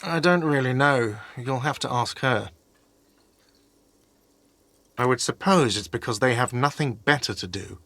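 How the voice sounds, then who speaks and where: An actor playing an exasperated, sarcastic hostel manager in a video game, with an irritated, condescending way of talking. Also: male voice, medium pitch, measured pace, pauses often, a bit bright. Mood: uneasy, evasive.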